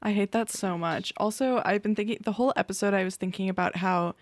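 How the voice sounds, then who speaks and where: A woman's voice: medium pitch, quick pace, no room sound, clean studio sound. A woman co-hosting a podcast, talking casually and conversationally. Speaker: podcast co-host. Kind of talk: casual chat.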